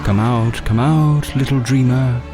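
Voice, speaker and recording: A man voices a theatrical, taunting villain from a nightmare. He draws out his mockery very slowly in a medium-pitched voice, over clear music in an audio drama. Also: fairly even intonation, close to an everyday voice. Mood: mocking, menacing. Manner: slow, mocking. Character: taunting, theatrical male villain haunting a dream. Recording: music and effects behind.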